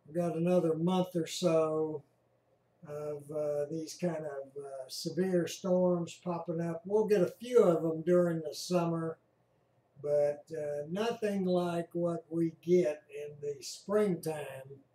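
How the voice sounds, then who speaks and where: A man from Texas giving casual commentary over a weather radar screen, talking in a relaxed, halting way with frequent pauses. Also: on the high side, reverberant, recorded from a distance, slight background noise, low-quality recording. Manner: slow, relaxed, halting with many uhs. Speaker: radio hobbyist narrating a weather radar screen. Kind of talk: casual commentary over recorded radio traffic.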